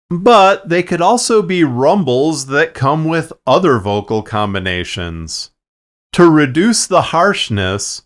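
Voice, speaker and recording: A man narrating a tutorial in a measured, plain-spoken, instructional way, explaining his production process step by step. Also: medium pitch, a bit bright, smooth. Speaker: fiction podcast producer and narrator. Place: acoustically treated recording space.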